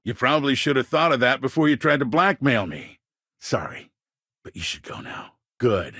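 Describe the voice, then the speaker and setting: An actor playing a slick, corrupt colonial politician in a video game, smooth-talking, smug and condescending with small evasive hesitations. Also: male voice, mid-range voice, very animated, moderate pace, a bit bright, no echo, clean studio sound. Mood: cold, condescending. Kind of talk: video game character dialogue.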